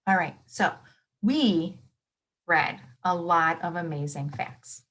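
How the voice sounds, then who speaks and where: A woman's voice: on the low side, slow pace, a bit bright. A woman reading a nonfiction book aloud to children, expressively, with simple explanations and reactions as a teacher would.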